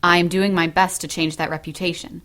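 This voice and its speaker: A woman playing a dignified, stern high priestess of witches, formal and measured, in an audio drama. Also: level tone, a bit bright, lightly acted. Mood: solemn conviction.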